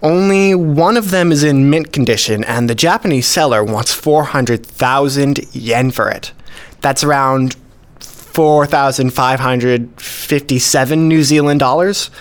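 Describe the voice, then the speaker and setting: A man's voice: medium pitch, measured pace, natural voice. An actor playing a modest, precise male hobbyist collector, explaining things methodically in a radio play. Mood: matter-of-fact, modest. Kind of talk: scripted dialogue, explaining.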